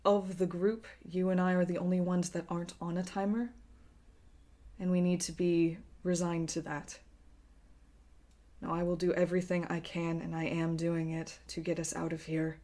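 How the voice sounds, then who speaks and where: A woman voicing a grave, resigned man who speaks in a quiet, measured, serious way in a tabletop game. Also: on the low side, level tone, lightly acted.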